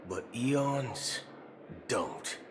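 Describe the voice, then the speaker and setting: An actor playing an easygoing, shrewd space agent in a game cutscene, with a folksy, musing way of talking. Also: male voice, medium pitch, very slow, background noise. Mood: musing, serious. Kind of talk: video game cutscene voice acting.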